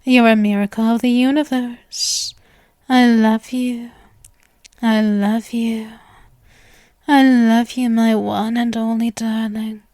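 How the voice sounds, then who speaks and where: A woman's voice: on the high side, little pitch movement, slow, darkish tone, no echo, clean studio sound. A woman voicing an obsessive, doting, gleefully morbid young vampire in an intimate, theatrical ASMR roleplay monologue. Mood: adoring, obsessive. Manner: gushing, theatrical, breathy asides, lovestruck.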